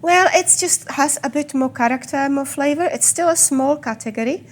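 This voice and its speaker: A woman from the Czech Republic with a Czech accent and a slight Scottish tinge, guiding a tour of a whisky collection and explaining it patiently, with small pauses. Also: high voice. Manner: fluent, with filler pauses and slow stressed words. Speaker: whisky guide. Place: whisky collection room, indoors.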